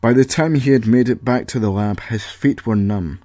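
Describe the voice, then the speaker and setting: Male storyteller, mid pitch, scripted narration with wry humour, very dry recording. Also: normal speed, mellow, dry recording. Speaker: author and narrator.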